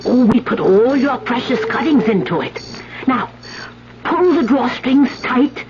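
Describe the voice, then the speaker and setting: A woman's voice: fairly high pitch, lively intonation, measured pace, over music. An actress playing an older village witch, sly, fervent and commanding, in an incantatory 1970s radio drama style. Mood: sly, persuasive. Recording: muffled, noisy 1970s radio broadcast.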